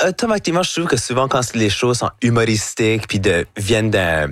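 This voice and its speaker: A man's voice: fairly low pitch, sing-song, fairly quick, fairly bright tone, dry recording. A young man with an Acadian French accent, speaking as a guest in a studio interview, rambling loosely and slipping in English words. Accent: Acadian French with chiac. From Acadia, Canada. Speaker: guest, performer. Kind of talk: casual interview chat. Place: radio studio. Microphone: studio microphone.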